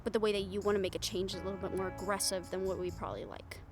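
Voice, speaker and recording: A woman's voice: medium pitch, little pitch movement, rapid-fire, over music, close to an everyday voice. A woman playing a bold, sarcastic, foul-mouthed reporter in an improvised audio roleplay, speaking in a candid, conversational way. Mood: earnest, persuasive. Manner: conversational, exasperated, candid, with sighs and swearing.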